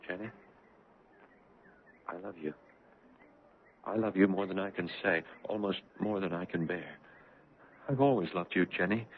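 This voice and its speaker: An actor playing an earnest, troubled young doctor and devoted son in a 1970s radio drama. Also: male voice, sits low, slight background noise. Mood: tender, nervous. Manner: earnest, agitated, halting in dialogue. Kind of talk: 1970s radio drama acting with first-person narration. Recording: muffled vintage radio broadcast, narrow band.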